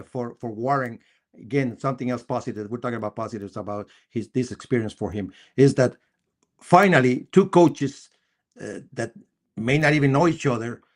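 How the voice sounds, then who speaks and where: A man with a Costa Rican accent, a native Spanish speaker, hosting a live sports discussion in a halting, repetitive way with emphatic bursts. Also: mid-range voice. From Costa Rica. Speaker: sports talk show host.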